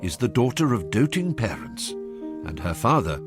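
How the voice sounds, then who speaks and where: A man's voice: fairly low pitch, very animated, measured pace, music in the background. A man playing an urbane, ironic English storyteller, narrating a Regency satire for a radio drama. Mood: wry, amused. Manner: ironic, knowing.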